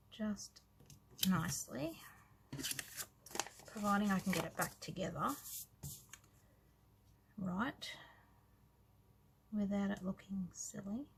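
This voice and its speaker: Australian woman, hoarse and croaky today, slow soft-spoken planner chat with quiet asides. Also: medium pitch, slight background noise, low-quality recording. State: scratchy, croaky voice. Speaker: planner vlogger. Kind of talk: casual planner walkthrough.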